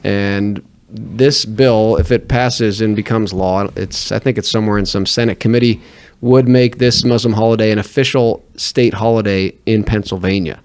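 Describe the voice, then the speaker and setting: A man hosting a podcast, introducing guests in a relaxed, chatty way. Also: lowish voice, moderate pace. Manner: relaxed, uses fillers and restarts. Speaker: podcast host. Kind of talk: casual talk show hosting.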